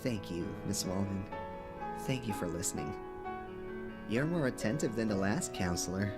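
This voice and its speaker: A man's voice: fairly low pitch, expressive, moderate pace, loud music behind the voice, lightly acted. A young man voicing a polite, earnest, considerate academy student in a cartoon fandub. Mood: earnest, grateful.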